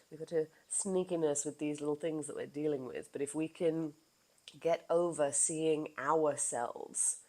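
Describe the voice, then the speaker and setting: A woman hosting her own podcast in casual chat, with a low voice, animated and laughing often, now and then swearing. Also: measured pace. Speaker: podcast host.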